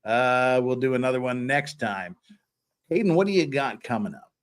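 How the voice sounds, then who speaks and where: A man's voice: medium pitch, moderate pace, clean tone. A man hosting a casual livestream, joking with viewers and playfully teasing them. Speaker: livestream host. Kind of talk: casual livestream chat, thanking viewers and reading comments.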